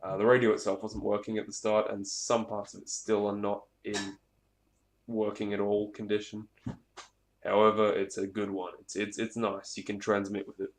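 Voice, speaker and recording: Echoey, low-voiced man rambling about gear. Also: completely flat delivery, moderate pace. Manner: rambling, off-the-cuff, sweary. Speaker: hobbyist presenter. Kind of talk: casual hands-on gear demonstration. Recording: echoey room, clear background noise, clicks.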